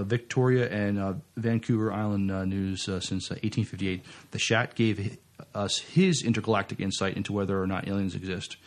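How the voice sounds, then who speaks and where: A man co-hosting a podcast, reading out entertainment news and chatting, with a halting, stumbling delivery. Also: on the low side. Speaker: podcast co-host.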